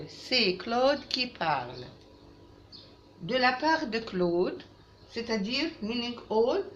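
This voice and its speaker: Woman teacher, slow and animated, roomy recording. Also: medium pitch. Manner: didactic, repeats phrases, switches into halting English. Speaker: French teacher. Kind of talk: language lesson for children, with repetition. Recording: roomy, muffled lo-fi, faint background noise.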